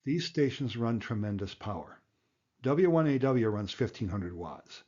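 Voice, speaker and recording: A man's voice: mid-range voice, lively intonation, a little slow, darkish tone, highs cut off. A man giving a plain-spoken, emphatic tutorial as an instructor, explaining things step by step. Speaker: amateur radio instructor and presenter.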